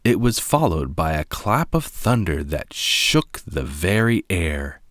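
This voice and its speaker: A man's voice: low-pitched, very animated, slow pace, fairly bright tone, no room sound, clean studio sound. A man narrating a children's storybook aloud. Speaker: storybook reader.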